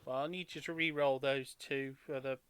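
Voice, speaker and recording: A man's voice: mid-range voice, steady tone, moderate pace, a bit bright, clean tone, no echo. A man running a tabletop game as game master, speaking casually and somewhat hesitantly.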